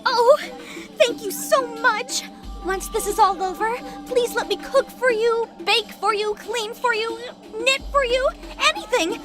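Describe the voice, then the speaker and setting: A woman's voice: extremely high pitch, a little slow, over music. A woman voicing an eager, devoted young sheep-girl advisor in an audio drama, bubbly and obedient. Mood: gushing gratitude.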